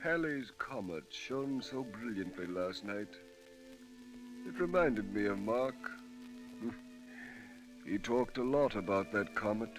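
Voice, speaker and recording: An actor playing a sorrowful, reverent friend at a deathbed in an old-time radio drama. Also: male voice, on the low side, animated, slow, long pauses, over music, poor audio.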